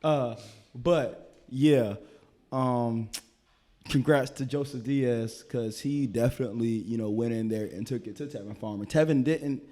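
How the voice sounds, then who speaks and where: A man's voice: mid-range voice, measured pace, mellow. A man talking boxing on a casual show, rambling and repeating words as he works out his thoughts.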